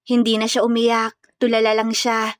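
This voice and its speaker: A female storyteller narrates a scripted romance drama. Her voice is fairly high, and the recording is close and dry. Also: completely flat delivery, moderate pace, no echo.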